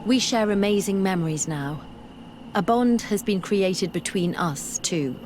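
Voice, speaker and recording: An actress playing an earnest, bookish young female scholar in a video game, speaking in a measured, articulate way. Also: mid-range voice, expressive, noisy background, close to an everyday voice. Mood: thoughtful, hopeful. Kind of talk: video game dialogue acting. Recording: music behind the voice.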